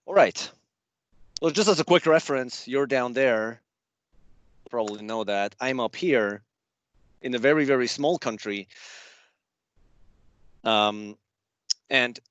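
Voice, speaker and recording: A man from Switzerland with a Swiss accent, giving a relaxed slide presentation to a user group over a video call. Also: medium pitch, no room sound. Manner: chatty, loose and self-deprecating. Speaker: guest speaker at a user group. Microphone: remote video call.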